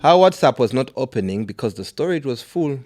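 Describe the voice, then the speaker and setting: A man's voice: medium pitch, brisk. A man hosting a podcast, chatting casually with guests, laughing often and reading out a viewer's letter. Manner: animated, laughs often, reads the letter aloud. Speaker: podcast host.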